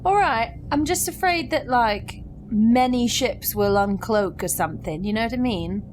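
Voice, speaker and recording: A woman's voice: fairly high pitch, expressive, unhurried, a little hiss or hum. A woman talking casually as a player in a tabletop role-playing game.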